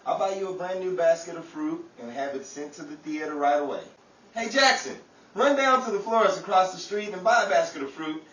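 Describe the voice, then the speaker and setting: A man's voice: sits high, fairly quick, fairly bright tone. A man playing an eager, bumbling character in a 1930s radio comedy, with genial patter and some stammering. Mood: chummy. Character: eager, bumbling 1930s man, boxing trainer and quiz announcer. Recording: roomy, distant, faint background noise.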